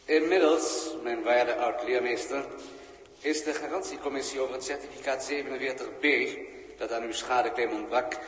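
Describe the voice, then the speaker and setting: In an echoing hall, a man acts a polite alien official from an old sci-fi radio drama. He sounds formal, measured and deferential, in a mid-range voice. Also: medium pitch, lightly acted. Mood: courteous, official. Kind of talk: 1960s science-fiction radio play acting. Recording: 1960s radio recording, echoing hall reverb.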